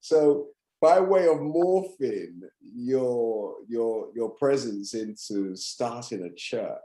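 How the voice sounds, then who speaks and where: A woman interviewer asks conversational questions at an unhurried pace. Her pitch moves widely up and down, and the sound is echoey and roomy. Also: expressive, dark tone. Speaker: interviewer, host.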